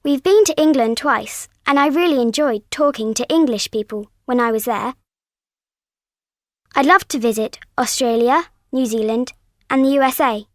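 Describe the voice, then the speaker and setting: A cheerful schoolchild from France, voiced by a woman, high and friendly. The lines are read aloud in a bright, clear way. The recording is dry and close. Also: moderate pace, dry recording, natural voice. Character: cheerful French schoolchild from Paris. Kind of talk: scripted textbook monologue.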